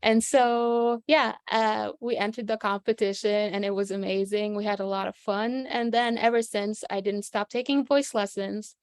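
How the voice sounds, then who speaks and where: Young female guest from Egypt, slightly gravelly, dry close sound, hesitant casual answers. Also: medium pitch, moderate pace, few pauses, no echo. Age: young adult. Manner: relaxed, many fillers like uh and um. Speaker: guest, classical singer.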